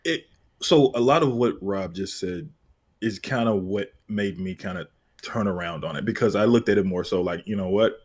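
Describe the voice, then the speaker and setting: A man's voice: fairly low pitch, normal speed. A man in his thirties chatting casually as a panelist, with a wry manner. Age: 30s. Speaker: panelist on a comics discussion show. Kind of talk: casual chat.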